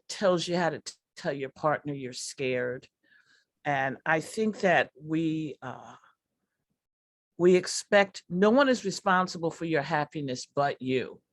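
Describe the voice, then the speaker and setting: A woman's voice: sits low, no room sound, clean studio sound. A woman performer and workshop artist talking earnestly and informally, often repeating her first words as she starts. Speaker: workshop artist and character-based comedy performer.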